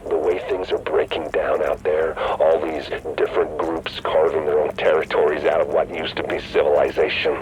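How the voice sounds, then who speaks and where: A man voicing a calm, calculating rogue leader who speaks persuasively and matter-of-factly in a game cutscene. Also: a bass voice, normal speed, few pauses. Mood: cool, persuasive. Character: scheming male rogue leader, cool and calculating. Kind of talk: video game cutscene dialogue. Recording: game audio with noisy ambience.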